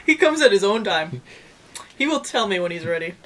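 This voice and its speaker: A woman's voice: medium pitch, very animated, brisk, a bit bright. A woman co-hosting a casual review with mocking, sardonic banter. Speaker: co-host of an anime review show.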